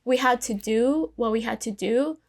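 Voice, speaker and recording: A woman's voice: a little high, normal speed. A woman from Colombia with a Colombian Spanish accent, whose first language is Spanish, hosting a podcast in a reflective way, with many fillers and restarts. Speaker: podcast host. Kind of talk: casual interview chat.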